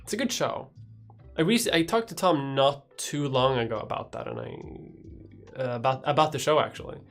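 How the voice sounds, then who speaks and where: A woman's voice: very deep voice, normal speed, soft background music. A woman in her thirties with a Swedish accent, chatting casually on a livestream with lots of restarts and casual swearing. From Sweden. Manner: rambling, swears casually, frequent restarts and laughs. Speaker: YouTuber hosting a livestream. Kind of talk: casual livestream chat reading chat questions.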